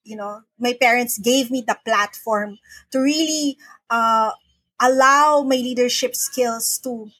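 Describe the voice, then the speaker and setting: A woman's voice: a little high, takes it slowly, fairly bright tone, no room sound. A middle-aged woman from the Philippines, a podcast guest talking earnestly and often repeating words as she starts a sentence. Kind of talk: casual interview talk. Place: home in the Philippines.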